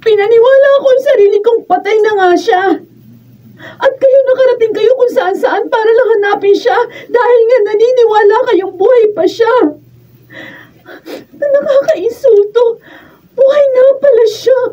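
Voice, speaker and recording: Upset filipino daughter, radio drama, accusing n teary, mellow tone, dull distant recording. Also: female voice, very high-pitched, normal speed. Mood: indignant, tearful. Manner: tearful, accusing, emotionally heightened. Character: hurt, indignant grown daughter confronting her mother. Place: radio drama recording studio, filmed on camera. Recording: distant, dull lo-fi room pickup.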